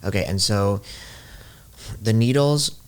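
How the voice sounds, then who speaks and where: A man's voice: on the low side, slow, smooth. A man hosting a casual interview, conversational and rephrasing things to check he understands. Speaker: interviewer, host.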